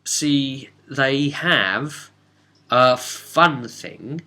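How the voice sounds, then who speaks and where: A man's voice: medium pitch, extremely slow, sharp tone. A British man casually rambling through a recap as game master, chatty and wry, often interrupting himself. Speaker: tabletop role-play game master and host. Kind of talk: casual rambling recap of a role-play session.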